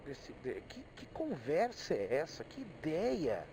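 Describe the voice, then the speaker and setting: A man's voice: fairly high pitch, huge pitch swings, slow, background noise. A middle-aged man with a Brazilian accent, thinking aloud in a casual, hesitant monologue where he often repeats words. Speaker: motorcyclist vlogger. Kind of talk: casual rambling monologue, personal reflections.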